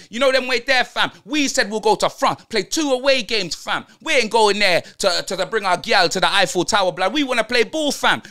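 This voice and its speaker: A man's voice: very high voice, bright, forceful tone, heavily acted. A man playing a brash young London roadman football fan, ranting in heavy slang and tagging lines with blood and fam. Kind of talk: comedic football rant.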